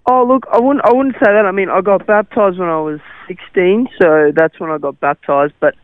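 A man's voice: sits high, moderate pace, clean tone, dry recording. Young aussie guy, afrikaans first language, umms a lot, dry tinny radio sound. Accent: Australian. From South Africa. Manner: hesitant, many fillers and restarts. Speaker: interview guest, cricketer. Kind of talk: radio interview. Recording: tinny phone-like radio line.

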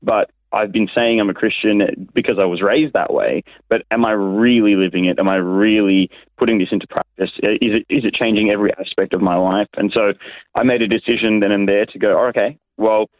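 A man's voice: fairly low pitch, steady tone, brisk, dry recording. An Australian man, a pastor being interviewed over a remote line, telling his own story in casual conversation. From Sydney, Australia. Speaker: interview guest, youth pastor. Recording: tinny narrow phone-like line.

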